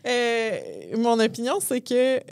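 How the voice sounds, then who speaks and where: A woman's voice: fairly high pitch, wildly swooping pitch, slow, vocal fry, a little mellow, dry recording, studio quality. A woman from Abitibi-Témiscamingue with a Québécois accent, sharing her opinions on a panel with many hesitations. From Abitibi-Témiscamingue, Quebec. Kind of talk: panel discussion.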